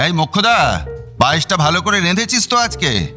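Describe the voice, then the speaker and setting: A man's voice: sits high, huge pitch swings, a little fast, sharp tone, faint music behind, exaggerated voice. A man acting a stingy, suspicious middle-aged father in a radio drama, grumbling and hesitating as he talks. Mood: fatherly.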